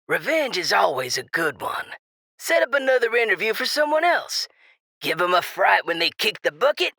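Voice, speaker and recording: Man playing a gravelly, gleefully callous radio host. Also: high-pitched, sing-song, moderate pace, sharp tone, no room sound, studio quality, exaggerated voice. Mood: mocking. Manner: showy, mocking announcer patter. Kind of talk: radio DJ acting in audio drama.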